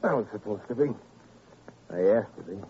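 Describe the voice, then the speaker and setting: Surly, low-voiced American prizefighter. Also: male voice, animated, normal speed, mellow, breathy edge, heavily acted. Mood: defiant. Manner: laconic, defiant. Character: surly, cocky male prizefighter, slow and menacing. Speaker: supporting actor. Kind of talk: 1950s radio crime drama acting. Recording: muffled, faintly noisy old radio recording.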